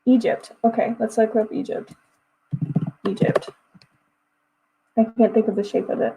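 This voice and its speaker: A woman's voice: fairly high pitch, fairly even intonation, unhurried, gravelly, mellow, slight background noise, low-quality recording. A woman of twenty-one from Texas, chatting casually with her livestream viewers and answering their messages as they come in. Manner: chatty, reads chat messages aloud and answers them. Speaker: livestream host.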